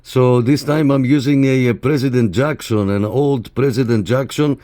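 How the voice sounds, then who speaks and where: A man's voice: medium pitch, steady tone, moderate pace, mellow, no room sound, studio quality. A man making casual radio contacts and chatting to viewers, informal and repeating radio call phrases. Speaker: CB radio operator and YouTube channel host. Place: indoors in a room at a radio set. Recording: clear background noise and radio clicks.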